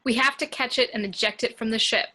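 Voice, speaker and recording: Woman playing a bright, resolute ship's officer. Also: a little high, fast talker. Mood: firm, urgent. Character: resolute, practical female warrant officer on spaceship. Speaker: table read actor. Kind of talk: amateur script table read.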